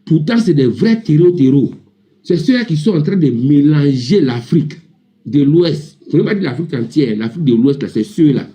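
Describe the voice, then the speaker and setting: A man's voice: a little high, animated, moderate pace, warm tone. A man with an Ivorian accent speaking indignantly and emphatically in a heated, informal live political debate, often repeating himself. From Ivory Coast. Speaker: panel debate participant.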